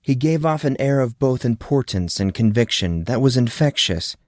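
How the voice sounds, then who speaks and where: A man's voice: mid-range voice, expressive, moderate pace, darkish tone, no room sound, studio quality. A man reading a fantasy novel aloud as narrator, in a friendly storytelling manner. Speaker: audiobook narrator.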